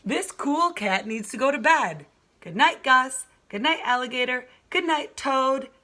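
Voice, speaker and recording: Storybook cool cat, male, groovy and easygoing, bright delivery played by a woman reader. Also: high voice, expressive, measured pace, heavily acted. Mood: sleepy, firm. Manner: hip, easygoing slang. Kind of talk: children's storybook read-aloud.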